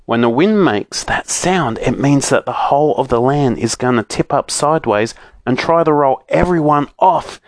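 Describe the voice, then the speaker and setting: A man's voice: medium pitch, lively intonation, moderate pace, few pauses, dry recording, pitched above the performer's own voice, close to an everyday voice. A man voicing a crowd of anxious villagers in a children's storybook, calling out an urgent warning. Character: anxious villagers of a rocking land, warning loudly.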